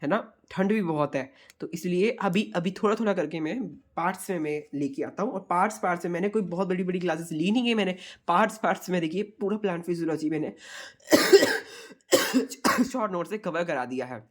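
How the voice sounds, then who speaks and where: A young man speaks hoarsely through a sore throat as he tutors online. He explains each point in a repetitive, chatty way and keeps calling his viewers friends. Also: sits high, brisk. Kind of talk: exam revision lecture.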